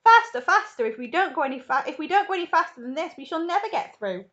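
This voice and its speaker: A woman's voice: a little high, lively intonation, brisk, muffled recording, lightly acted. A woman voicing an exuberant, eccentric man who owns a chocolate factory, gushing and repeating himself eagerly in a storybook reading. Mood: excited. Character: exuberant, eccentric male chocolate-factory owner, gleefully excitable.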